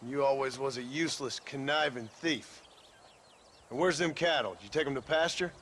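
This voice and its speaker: An actor with a rural American Western drawl playing a gruff, dry-witted rancher father in a video game cutscene. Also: mid-range voice, moderate pace, fairly bright tone, slight background noise, close to an everyday voice. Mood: gruff, sardonic. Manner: sardonic, terse.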